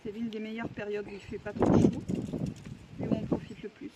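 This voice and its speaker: A woman's voice: mid-range voice, flat delivery, fairly quick. A woman, chatting casually and a little hesitantly, repeating words for emphasis. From France. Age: 30s. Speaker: vlog interviewee, expatriate. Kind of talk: casual chat. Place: outdoors in a residential neighbourhood. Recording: noisy outdoor recording.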